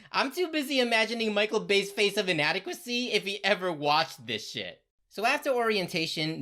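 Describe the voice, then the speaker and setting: Casting: female comedy recap narrator, deep for a woman, with big expressive pitch movement and irreverent, slangy sarcasm.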